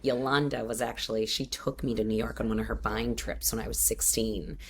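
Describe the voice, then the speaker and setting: Really deep-voiced woman, 50s, from boston, rambly storytelling with lots of ums, faint hiss. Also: fairly even intonation, fairly quick. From Boston area. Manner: warm storytelling, frequent fillers and restarts. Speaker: guest entrepreneur. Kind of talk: casual interview chat.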